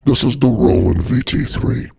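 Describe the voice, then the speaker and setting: Very gravelly, husky man through voice changer. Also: medium pitch, normal speed, mellow. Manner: sweary, irreverent. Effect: voice changer processing. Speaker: unboxing video narrator. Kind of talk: casual scripted product unboxing voice-over.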